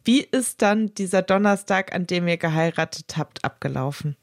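A woman's voice: on the low side, moderate pace, dry recording. Woman interviewer asking easygoing questions, dry close sound.

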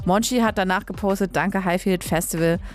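A woman's voice: mid-range voice, steady tone, a little fast. A woman from Leipzig, Germany, hosting a radio music show in a casual, chatty, colloquial way. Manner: chatty, colloquial, trailing tag questions. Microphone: close studio radio microphone.

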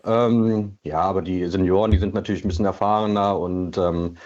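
Male caller, middle-aged, deepish voice, muffled telephone line, casual hesitant delivery. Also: steady tone, a little fast, a little mellow. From northern Germany, near Hanover. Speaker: caller, amateur football referee. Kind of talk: casual chat.

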